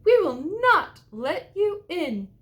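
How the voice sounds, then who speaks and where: Tiny storybook pigs, squeaking defiantly. The voice is pitched far up high and moves in an animated way. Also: female voice, slow, exaggerated voice. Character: three little pigs, small, squeaky and defiant. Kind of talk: children's storybook read-aloud.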